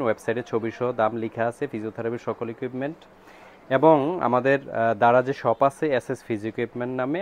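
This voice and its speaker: Man, Bangladeshi accent, medium pitch, informal promo talk, shop promoter. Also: lo-fi sound, a little hiss or hum.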